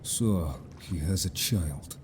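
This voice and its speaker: A man playing a stern, blunt merfolk companion in an audio drama, formal and measured in speech, suspicious of humans. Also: deep voice, darkish tone, slight background noise. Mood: grave, probing.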